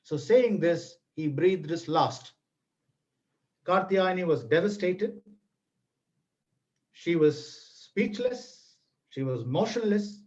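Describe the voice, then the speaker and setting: A middle-aged man with an Indian accent and Tamil as his first language, presenting and narrating in a deliberate, theatrical storytelling style with emphatic repetition. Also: sits high, lively intonation, darkish tone. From Tamil Nadu, India. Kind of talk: theatrical read-aloud storytelling in an online lesson. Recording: dull, lo-fi online webinar audio.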